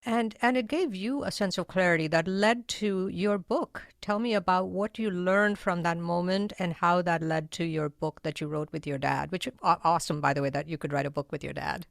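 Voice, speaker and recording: A woman's voice: fairly low pitch, moderate pace, gravelly, few pauses, no echo, studio quality. A woman hosting an interview, responding with admiring remarks and frequent laughter. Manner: warm, admiring, laughs often. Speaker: podcast host and interviewer. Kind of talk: interview conversation.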